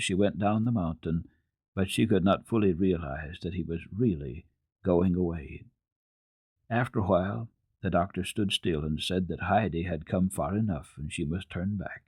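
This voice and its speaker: A man narrating children's literature as a radio storyteller, reading dramatically in an expressive, deliberate way. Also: low voice, breathy edge, no room sound, clean studio sound.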